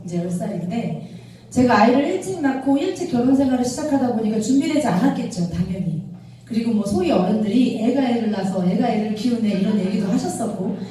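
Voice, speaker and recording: A woman's voice: fairly high pitch, warm tone. A woman in her thirties giving a motivational product lecture in a conversational way, often checking in with her audience through rhetorical questions. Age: 30s. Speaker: product trainer and speaker for a direct-sales business. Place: echoey indoor lecture room with an audience. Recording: very echoey room, distant mic, faint noise.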